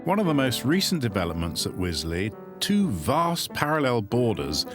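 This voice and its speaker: A man presenting a documentary about a garden, speaking in a measured, explanatory way. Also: medium pitch, very animated. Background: background music.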